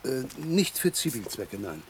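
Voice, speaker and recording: Pompous government official, mid-pitched. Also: male voice, big pitch swings, a little slow, slight background noise. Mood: awkward, apologetic. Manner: pompous, hesitant, formal. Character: officious, pompous male government official, placating and stiffly polite. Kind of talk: 1960s German radio play acting.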